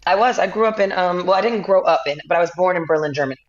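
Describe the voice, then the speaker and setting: A woman in her forties from the US Midwest, a guest actor telling long, relaxed stories with lots of fillers in a casual interview. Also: lowish voice, racing, roomy sound, muffled recording. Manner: relaxed, rambling storyteller with many fillers.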